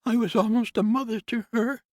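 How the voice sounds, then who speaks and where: A man's voice: very high voice, steady tone, a little fast, dry recording, studio quality, pitched above the performer's own voice. A man voicing a grieving woman in her fifties, an old family nurse, in a tearful, wavering voice for an audiobook. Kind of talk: audiobook character voice.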